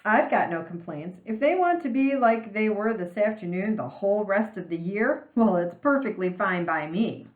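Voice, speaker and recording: A woman's voice: mid-range voice, animated, normal speed, few pauses, roomy sound, phone-quality sound, close to an everyday voice. A woman voicing a casual, plain-spoken female gym teacher in a storybook, accepting and unbothered. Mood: easygoing.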